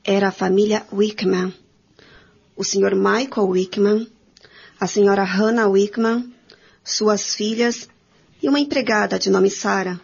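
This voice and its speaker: Radio soap narrator: Brazilian woman, medium pitch, raspy edge, muffled audio. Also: steady tone, a little slow, long pauses, a little mellow. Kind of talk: scripted radio drama narration. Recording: dull lo-fi radio recording.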